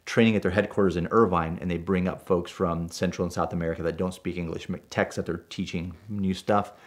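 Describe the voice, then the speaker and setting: Deep-voiced male driving instructor, native Spanish speaker, animated casual storyteller. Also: fairly quick.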